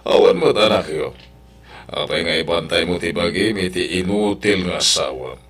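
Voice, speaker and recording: An Ilocano man acting a boastful, insistent suitor tempting a married woman in an audio drama, with a raspy, gravelly voice. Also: sits low, moderate pace, fairly bright tone, faint music behind. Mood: persuasive, scornful.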